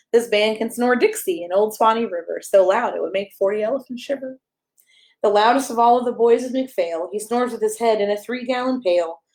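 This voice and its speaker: A woman's voice: medium pitch, a little mellow. A woman reading a rhyming children's picture book aloud as a storyteller, in a playful, sing-song way with emphasis on the rhymes.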